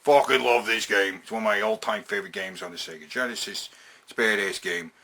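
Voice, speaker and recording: A man's voice: fairly low pitch, a little fast, gravelly, sharp tone. A man hosting a casual, opinionated countdown, talking in emphatic, sweary slang and repeating phrases to drive them home. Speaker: host of a video game and movie countdown.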